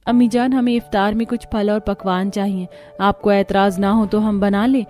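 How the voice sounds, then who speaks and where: A woman playing a gentle, pleading young daughter-in-law. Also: mid-range voice, flat delivery, moderate pace, faint music behind, close to an everyday voice. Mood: meek. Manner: polite, pleading. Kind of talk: Hindi cartoon story acting.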